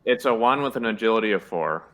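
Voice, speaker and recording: A man with a low but bright, forward voice chats as a player in a tabletop game. He speaks quickly and casually. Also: lively intonation. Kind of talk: casual chat.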